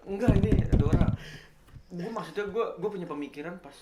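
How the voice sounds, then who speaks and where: A male talk show host with a Jakarta accent and a slightly high voice chats in a slangy, joking manner. He keeps things informal with his guest, and the sound is clearly echoey and roomy. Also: brisk, heavy background noise. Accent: Jakarta Indonesian. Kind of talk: casual joking chat with a guest.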